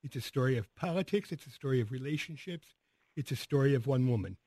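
A man's voice: mid-range voice, expressive, fast talker, warm tone, slightly rough, dry recording. A man hosting a Sunday morning radio talk show, introducing his guest with upbeat, announcer-like station breaks. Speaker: radio host. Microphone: studio microphone.

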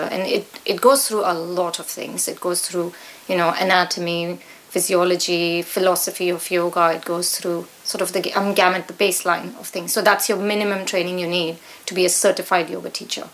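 A woman's voice: on the low side, fairly bright tone. A middle-aged woman with an Indian accent, talking conversationally as an interview guest. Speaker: guest, yoga teacher.

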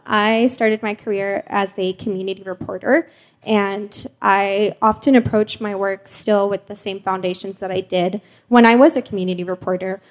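A woman from Mexico hosting a live panel in a casual, chatty, giggly and informal way. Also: mid-range voice. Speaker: host and journalist.

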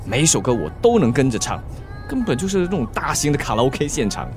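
A shy young man in a radio drama, mid-pitched with very lively intonation. He is awkward yet gently teasing. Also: fast, faint music behind. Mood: enthusiastic.

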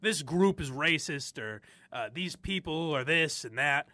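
A man's voice: on the high side, animated, unhurried, pushed and bright, no room sound. A young man from the area, hosting opinionated talk radio and ranting with frequent laughs. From Boston area. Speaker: sports radio host.

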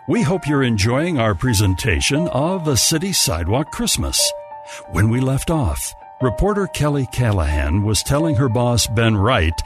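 A man delivers scripted narration for a radio drama. His mid-pitched voice is animated and varied, with music clearly playing behind him.

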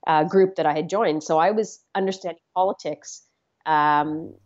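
A middle-aged woman from Canada who talks in a low, earnest voice. She often hesitates and repeats words as she reflects on her story. The sound is slightly muffled and lo-fi. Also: fairly even intonation, moderate pace, a little mellow. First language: Swahili. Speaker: interview guest. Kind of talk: reflective conversational interview.

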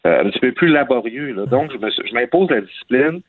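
A man with a Quebec French accent talking casually on the radio as a guest, relaxed and hesitant with many fillers. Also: mid-range voice, fast, a little hiss or hum. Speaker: columnist guest. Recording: phone line.

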